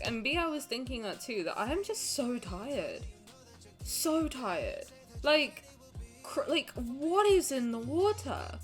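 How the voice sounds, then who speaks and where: A young woman streamer chatting with viewers, rambling and swearing casually, a bit nasal from a cold. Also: a little high. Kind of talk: casual stream chat reading viewer messages.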